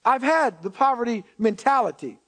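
A man with a strikingly high voice delivers a sermon. He is emphatic and returns to key phrases for weight. In between, he talks to his listeners directly, with conversational asides and rhetorical questions. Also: lively intonation, normal speed, a bit bright. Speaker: preacher. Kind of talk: sermon to a congregation.

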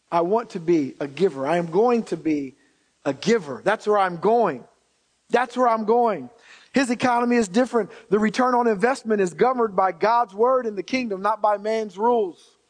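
A man with a strikingly high voice delivers a sermon. He is emphatic and returns to key phrases for weight. In between, he talks to his listeners directly, with conversational asides and rhetorical questions. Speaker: preacher. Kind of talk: sermon to a congregation.

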